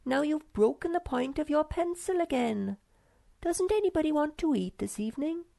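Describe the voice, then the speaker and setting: A vain, sweet young creature girl who talks high and breezy, as a woman reader puts her on for a storybook. The voice sits far above the reader's own, recorded dry and close. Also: lively intonation, normal speed, vocal fry, dark tone, no room sound. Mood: eager. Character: vain sweet young female Snork Maiden. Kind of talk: audiobook character voice.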